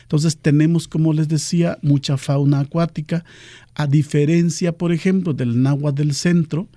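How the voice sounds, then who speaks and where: Mellow-voiced man, native Nahuatl speaker. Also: on the high side, fairly even intonation, no echo. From Pajapan, southern Veracruz, Mexico. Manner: explanatory, measured, with "este" fillers. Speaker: guest, cultural outreach official. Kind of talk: radio interview.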